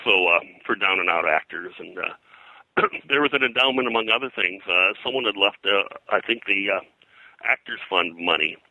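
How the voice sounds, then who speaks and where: An older man, a pop culture historian being interviewed, reminiscing in a halting, digressive way full of detail. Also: low voice, pushed and bright, dry recording, rough recording. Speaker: pop culture historian and comics colorist, guest.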